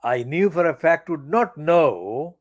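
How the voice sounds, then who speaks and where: An older man delivering an angry, profane political monologue as a channel editor, stressing words slowly and deliberately. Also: a little high, animated. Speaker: channel editor giving commentary.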